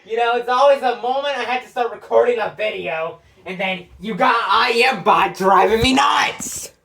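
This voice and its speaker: A woman's voice: a little high, normal speed, creaky, a bit bright, slight background noise. A woman giving a casual, sweary show-and-tell as host of a collection video, blunt and easily irritated.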